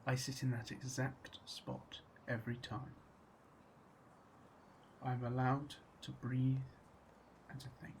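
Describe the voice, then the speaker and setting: An actor playing an anxious, solitary man in a radio drama monologue, measured and introspective. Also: mid-range voice, completely flat delivery, vocal fry, slight background noise, low-quality recording. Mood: reflective.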